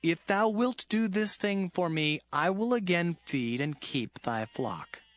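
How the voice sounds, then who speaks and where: A man performs a resolute herdsman of ancient times for an audio Bible drama. His voice is on the high side and animated, with earnest, emphatic delivery. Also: takes it slowly. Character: Jacob, earnest, resolute biblical herdsman and husband.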